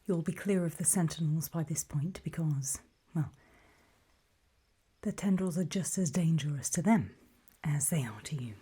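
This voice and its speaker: A woman whispering softly and breathily in an ASMR roleplay, giving calm, deliberate instructions with pauses, close to the microphone. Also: low-pitched, husky. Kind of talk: whispered ASMR roleplay, scripted guide briefing.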